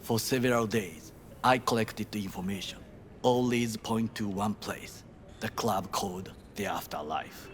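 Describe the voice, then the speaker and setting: An actor playing a grave, proud middle-aged Japanese ex-bodyguard in a video game, speaking formal, clipped English with a Japanese accent. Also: male voice, lowish voice, unhurried, a little hiss or hum, natural voice. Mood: stern, guarded. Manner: formal, clipped, stern phrasing. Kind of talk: video game dialogue acting.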